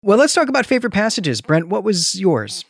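A man's voice: high-pitched, huge pitch swings, brisk, gravelly, husky, no room sound, studio quality. Man hosting a book podcast. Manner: leads the conversation with questions. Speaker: podcast host. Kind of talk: casual book discussion.

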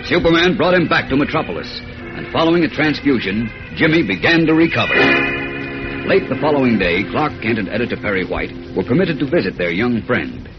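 A man's voice: mid-range voice, very animated, a little fast, over loud music. A man narrating a 1940s radio serial in a dramatic, scripted style. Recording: muffled old radio recording.

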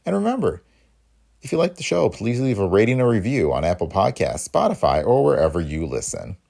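A man's voice: on the low side, very animated, a little fast, gravelly, darkish tone. A man hosting a podcast, reading a scripted introduction. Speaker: podcast host.

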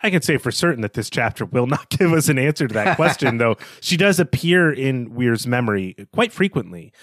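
A man's voice: medium pitch, very animated, fairly quick, a bit bright, no echo, clean studio sound. A man co-hosting a podcast in casual literary discussion, speaking in an enthusiastic, informal way. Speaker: podcast co-host.

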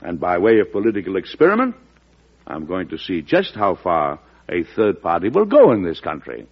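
An actor playing a principled older American president in a radio drama, speaking in a formal, deliberate, oratorical way. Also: male voice, low-pitched, huge pitch swings, breathy edge, heavily acted. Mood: earnest, resolute. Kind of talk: 1940s radio drama acting. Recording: dull vintage radio recording.

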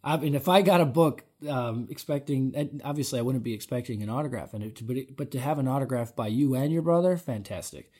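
A man's voice: medium pitch, fast talker, few pauses, dark tone, slightly rough. A man co-hosting a podcast, upbeat as he reads out listener questions and plugs a website. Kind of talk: casual interview chat.

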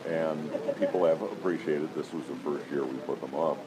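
A man with a deep voice, the founder of a convention weapons exhibit, telling anecdotes in an interview. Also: moderate pace, a loud crowd, rough recording. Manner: deep voice, storytelling with acted-out quotes.

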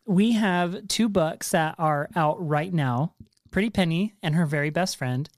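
A man's voice: fairly high pitch, unhurried, no echo. Man, podcast host, playful, joking, casual chat, dry recording. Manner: playful, jokey. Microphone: handheld recorder.

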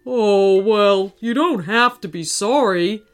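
A woman voicing a big, gentle, bashful male hippo in a storybook, earnest and sentimental. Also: mid-range voice, slow pace, soft background music, natural voice. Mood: bashful, forgiving.

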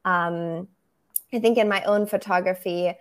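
A young photographer from Germany speaks English. She answers in a measured, reflective way, often pausing on "um" while she builds her points. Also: fairly low pitch, steady tone. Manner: thoughtful, measured, frequent "um". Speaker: photographer, podcast guest. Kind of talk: interview answers.

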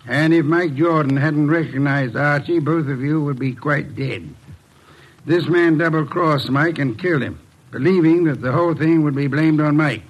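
An actor playing a shrewd, self-assured detective in 1940s radio style, speaking in a pompous, precise, deliberate way. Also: male voice, a little high, lightly acted, poor audio. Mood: commanding, deductive. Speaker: lead detective. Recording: vintage radio drama recording.

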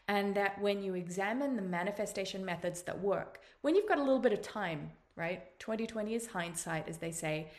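An Australian woman in her forties hosts a motivational podcast, speaking in a somewhat low voice. She talks earnestly and encouragingly, stretching out her phrases as she speaks straight to her listeners. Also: a little mellow. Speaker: podcast host. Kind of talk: motivational monologue.

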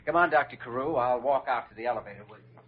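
An actor playing a patient, stubborn younger male doctor in an old radio drama, with a dry, gently teasing delivery. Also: mid-range voice, racing, a little hiss or hum, lightly acted. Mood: patient, easygoing. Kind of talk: 1940s radio drama acting. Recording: muffled vintage radio recording.

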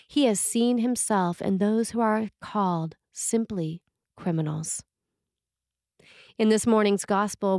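A woman priest reads a sermon with measured, earnest delivery. Her voice sits at an ordinary pitch. The recording is close and dry, with no room around it. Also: a little mellow, no room sound. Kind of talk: read sermon.

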